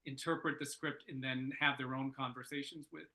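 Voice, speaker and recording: A man's voice: medium pitch, level tone, brisk, a crowd in the background. A man explaining things in a casual discussion, padding his points with conversational filler.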